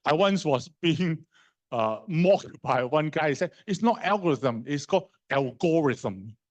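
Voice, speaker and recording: A middle-aged man with a Hong Kong accent teaches a university class. His voice sits a touch high. He talks casually with restarts and fillers, and often turns to the students with questions. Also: animated. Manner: conversational, fast and slow in turns, asks the class questions; non-native grammar, fillers and restarts. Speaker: university lecturer. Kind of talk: live lecture.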